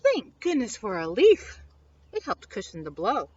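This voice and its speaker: A woman reading a children's picture book aloud expressively, with dramatic, drawn-out emphasis on key words. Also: medium pitch, huge pitch swings, darkish tone, breathy edge, dry recording. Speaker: story time reader. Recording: dull lo-fi sound.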